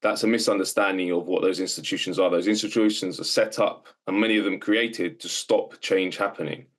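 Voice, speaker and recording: Deep-voiced man on a panel. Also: steady tone, a little fast. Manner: earnest, urgent, some fillers. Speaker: roundtable guest. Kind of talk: panel discussion.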